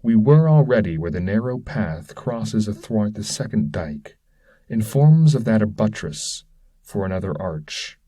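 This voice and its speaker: Slow, low-voiced man reading epic poetry. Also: sing-song, warm tone, slightly rough. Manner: solemn, measured, dramatic recitation. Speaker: audiobook-style reader.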